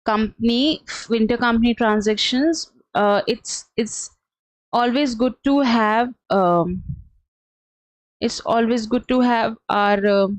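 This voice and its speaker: A woman with a fairly high voice leads a live software walkthrough as a trainer. She explains things step by step, halting as she goes. The sound is fairly dry and close, with little room around it. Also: no echo, low-quality recording. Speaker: software trainer presenting a webinar. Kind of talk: live instructional screen-share walkthrough.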